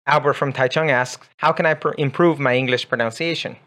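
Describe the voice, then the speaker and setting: A man's voice: medium pitch, steady tone, fairly quick, a bit bright. A man whose first language is Spanish co-hosting a casual chat about learning English, easygoing, reading out listener questions. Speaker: co-host, English teacher.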